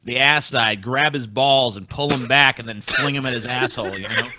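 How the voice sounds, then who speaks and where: A man's voice: mid-range voice, normal speed, background noise. A man co-hosting a podcast in casual, crude banter, blunt and full of swearing. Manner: profane, blunt. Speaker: podcast co-host.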